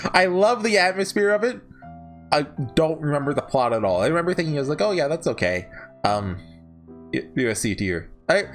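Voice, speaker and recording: A man's voice: a little high, fairly bright tone. A man giving casual, unscripted ranking commentary as a reviewer, rambling through his opinions and often correcting himself mid-thought. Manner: rambling, opinionated, often self-correcting and seeking agreement with "right?". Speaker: visual novel reviewer.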